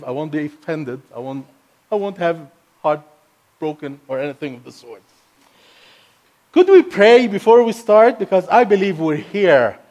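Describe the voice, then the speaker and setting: A man from Egypt, a native Arabic speaker with an Egyptian accent, preaching as a guest speaker in an energetic, playful way with bursts of emphasis. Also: a little high. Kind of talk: sermon.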